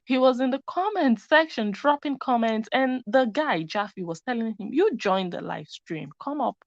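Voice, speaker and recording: A woman's voice: a little high, expressive, normal speed, no room sound. A woman hosting a live stream, commenting in a chatty way that speaks straight to her viewers. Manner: chatty, talks to viewers directly. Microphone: computer mic. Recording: dry, dull lo-fi sound.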